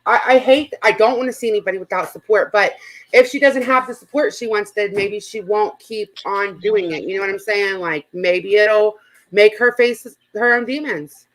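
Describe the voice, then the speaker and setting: A woman's voice: mid-range voice, moderate pace, low-quality recording. A woman hosting a live stream with casual reaction commentary, rambling and emphatic, often repeating herself.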